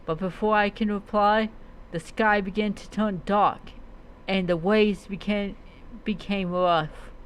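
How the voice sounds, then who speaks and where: A streamer narrating a fantasy adventure tale in a dramatic storytelling style, halting and often stumbling over and repeating words. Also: mid-range voice, smooth, rough recording. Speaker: streamer narrating own roleplay character's story.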